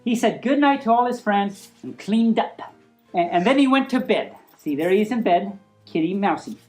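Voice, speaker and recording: A woman's voice: mid-range voice, animated, moderate pace, darkish tone, soft background music. A woman reading a picture book aloud informally, playful and rambling, with easily sidetracked asides.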